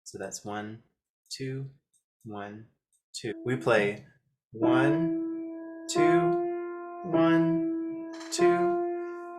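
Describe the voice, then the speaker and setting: A man with a mid-pitched voice gives instructional piano guidance at a slow pace. He speaks deliberately in short phrases, counting out notes and fingerings step by step. Piano playing is clearly heard along with his voice. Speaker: piano teacher, host of video lessons.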